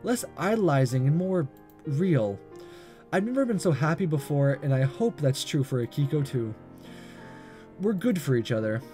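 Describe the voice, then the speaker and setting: A man reading a visual novel aloud as a gaming host, expressive but amateur, stumbling and rereading lines. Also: a little high, normal speed, soft background music.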